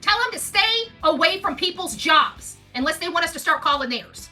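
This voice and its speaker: High, bright, combative woman ranting. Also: lively intonation, moderate pace, soft background music. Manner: combative, repetitive, emphatic. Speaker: online video content creator. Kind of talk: informal unscripted rant to an audience.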